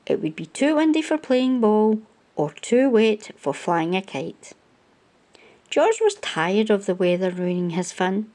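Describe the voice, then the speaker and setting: Woman reading a picture book, unhurried, expressive. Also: medium pitch. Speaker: children's storytime host reading aloud. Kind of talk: children's picture book read-aloud.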